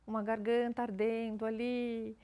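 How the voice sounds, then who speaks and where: A woman speaks at a steady, measured pace, explaining voice care as a speech therapist. She keeps to plain, practical examples and a calm teaching tone. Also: on the high side. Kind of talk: explanatory interview answers.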